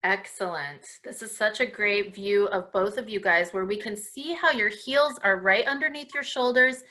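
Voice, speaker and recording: A woman's voice: mid-range voice, moderate pace, pushed and bright. A woman leading a live stretching lesson for viewers at home in an encouraging way, with frequent praise. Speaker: dance class host leading children's stretches.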